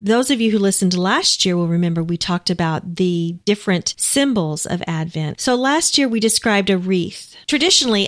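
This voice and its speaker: Female radio co-host, medium register, measured and orderly explainer for a faith programme. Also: mid-range voice.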